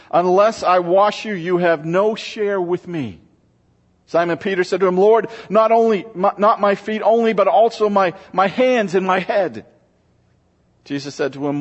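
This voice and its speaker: A man speaking as a pastor, reading scripture to a congregation in a measured, solemn church delivery. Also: a little high. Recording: muffled, reverberant large-room recording.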